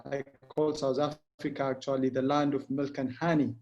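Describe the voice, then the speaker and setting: A man's voice: mid-range voice, little pitch movement, darkish tone, lo-fi sound. A middle-aged man from Ethiopia, with an Ethiopian accent and English as a second language, giving an earnest motivational talk that halts and restarts often. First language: not English. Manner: earnest, halting with frequent restarts. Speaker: guest speaker. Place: Pretoria home.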